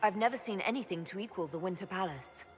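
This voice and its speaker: A woman's voice: mid-range voice, quick pace, faint music behind, close to an everyday voice. An actress playing a composed, diplomatic lady inquisitor in a fantasy game, polite and self-possessed.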